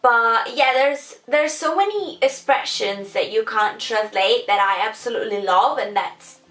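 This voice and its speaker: A woman's voice: fairly high pitch, measured pace, a bit bright. A young woman from Sicily with an Italian accent, chatting casually to camera as a vlogger, full of fillers and self-corrections. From Sicily, Italy. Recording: echoey room, mic at a distance.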